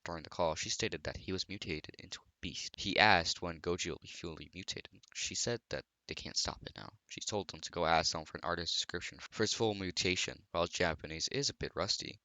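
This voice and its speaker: A man's voice: sits low, brisk, hardly any pauses, bright, forceful tone, dry recording, rough recording. A man giving a scripted, storytelling narration that explains a horror series.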